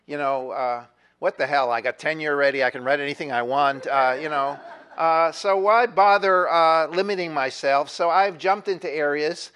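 A man's voice: fairly high pitch, a bit bright. Rambling middle-aged male history lecturer. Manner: discursive, many fillers and restarts, asks the audience questions. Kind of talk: university lecture. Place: lecture hall. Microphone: moves away from the microphone at times.

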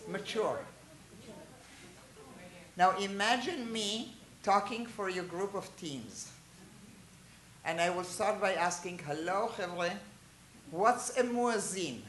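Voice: mid-range voice, slow, pauses often. Chatty Israeli-born teacher in an echoey room. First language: Hebrew and Hungarian. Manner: chatty, asks the listeners questions, animated asides. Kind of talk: informal lecture to a small group. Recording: roomy echo.

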